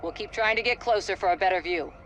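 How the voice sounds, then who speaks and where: An actress playing a television news reporter in a video game, delivering an urgent live report. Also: female voice, medium pitch, fast talker, a bit bright, a little hiss or hum, natural voice.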